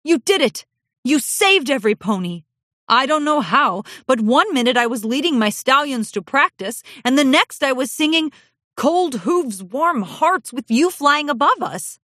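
A woman reading aloud voices an excited stallion pony who gushes with praise for his wife. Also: fairly low pitch, normal speed, hardly any pauses, no room sound, clean studio sound, heavily acted. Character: excited stallion pony guard captain, devoted husband.

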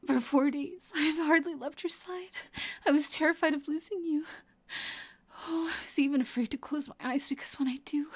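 A woman playing a guilt-ridden, devoted and dangerous lover in an intimate roleplay audio drama, with a breathy, emotional delivery. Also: high voice. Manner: breathy, emotional delivery sliding between sobs and whispers. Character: devoted, guilt-ridden female psychopath lover, tearful and clingy.

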